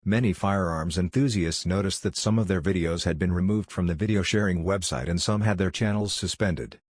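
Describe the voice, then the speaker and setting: Male reader for exam practice passages, low voice, scripted read-aloud, dry sound. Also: a little fast, dry recording.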